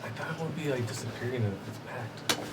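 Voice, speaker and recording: A man playing in a tabletop game, joking and quick with his lines. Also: mid-range voice. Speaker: tabletop player. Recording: distant noisy mic.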